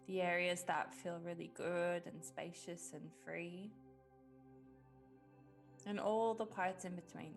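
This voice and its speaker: A woman leading a guided meditation in a gentle, unhurried way with long pauses. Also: on the low side. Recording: music behind the voice. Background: background music.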